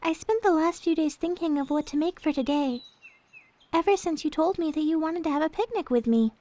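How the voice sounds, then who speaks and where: High, sweet-voiced gentle maid, acted by a woman. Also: fairly quick, natural voice. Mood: cheerful, tender. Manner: soft, sweet, tender, hesitant when confiding. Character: gentle, devoted young maid with a sorrowful past. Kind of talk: ASMR roleplay.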